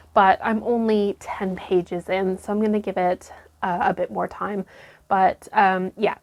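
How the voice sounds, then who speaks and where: A young woman chatting casually to camera about her reading, her voice still croaky after illness, with lots of ums and restarts. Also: mid-range voice. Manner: rambling, many ums and restarts. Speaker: book vlogger.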